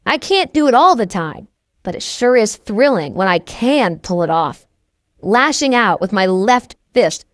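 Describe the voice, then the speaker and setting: A woman's voice: fairly high pitch, dry recording, clean studio sound. A woman reading first-person scripted novel narration as an audiobook narrator.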